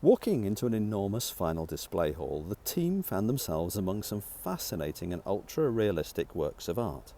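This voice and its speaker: A man's voice: sits low, very animated, moderate pace, few pauses, mellow. A man who is an author, narrating their own light-hearted sci-fi novel as an audiobook.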